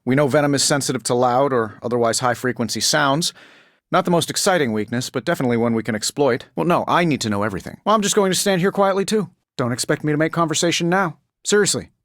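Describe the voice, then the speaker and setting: An actor in a video game playing a cocky, quick-witted billionaire inventor superhero with a sardonic, glib, conversational delivery. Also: male voice, a little high, a bit bright, no echo, clean studio sound, natural voice. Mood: wry, flippant.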